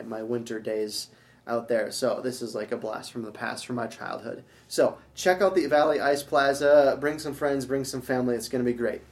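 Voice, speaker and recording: Upbeat young man hosting a church interview. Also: medium pitch. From Minnesota. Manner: upbeat, promotional, repeats words. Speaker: church staff host. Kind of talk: casual church announcement interview.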